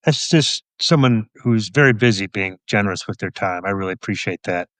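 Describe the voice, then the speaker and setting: A man's voice: on the low side, brisk, a bit bright, no echo. A middle-aged American man chatting casually as an interview guest, understated and modest. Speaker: guest author.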